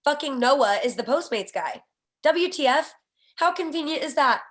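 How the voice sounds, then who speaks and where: A woman reading stage directions at a screenplay table read, with an expressive, wry delivery. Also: sits high, moderate pace.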